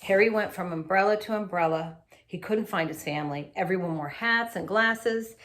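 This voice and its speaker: Woman, mid-pitched voice, animated children's read-aloud, teacherly, little asides to young listeners. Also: normal speed. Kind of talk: expressive read-aloud of a children's picture book.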